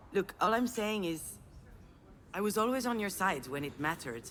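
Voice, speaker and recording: An actress playing a self-deprecating, conciliatory sister in earnest, conversational game dialogue. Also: female voice, medium pitch, sing-song, slow, a bit bright, a little hiss or hum.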